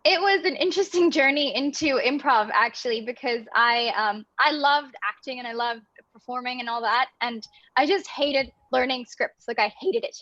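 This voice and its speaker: A young woman from Belgium chats through a video call in an upbeat, bubbly way, with a light laugh slipping between phrases. Her voice sits high and sounds bright and crisp. The audio is dry but a little dull, as a call line is. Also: moderate pace, few pauses, no echo. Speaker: guest, improv theater artistic director. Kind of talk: casual interview chat.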